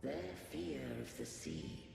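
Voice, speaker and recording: Ancient game guardian, heavy creak, stately archaic phrasing, solemn, echo-drenched. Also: male voice, mid-range voice, wildly swooping pitch, slow, a little mellow, heavily acted. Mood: solemn, foreboding. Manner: archaic, grave, stately speech. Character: ancient solemn male guardian of a fallen civilization. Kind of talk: dramatic video game voice acting. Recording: long echoing reverb, music underneath. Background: game music.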